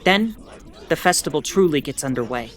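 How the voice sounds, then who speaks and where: A woman playing a weary, sardonic starship broadcaster in an audio drama, giving history in a deliberate, grudging, pointed way. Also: sits low, lively intonation. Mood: dry, disapproving. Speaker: ship's bulletin broadcaster. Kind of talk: in-character educational monologue, audio fiction.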